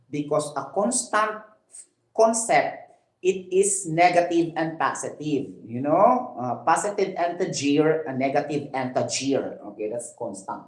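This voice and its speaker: A woman's voice: sits low, darkish tone, echoey room, rough recording. A woman with a Filipino accent teaching a math lesson on a livestream, walking through each step and adding "okay?" and "no?" to check on her viewers. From Philippines. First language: Cebuano. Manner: explains step by step, adds tag questions like "no?" and "okay?".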